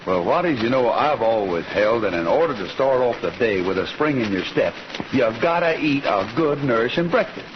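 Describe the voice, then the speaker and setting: An actor playing a genial cowboy rancher who hosts an old radio show with folksy, easygoing talk. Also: male voice, medium pitch, lively intonation, normal speed, background noise, close to an everyday voice. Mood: folksy pitch. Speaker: radio show host and pitchman. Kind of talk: 1940s radio show hosting. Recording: muffled old radio recording, loud music.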